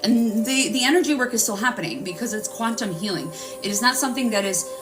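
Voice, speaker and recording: A woman's voice: fairly high pitch, lively intonation, quick pace, distant mic, low-quality recording. A woman hosting a live-streamed healing session, talking in an earnest, rambling way and guiding listeners through their breathing. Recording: voice a bit off-mic with music playing.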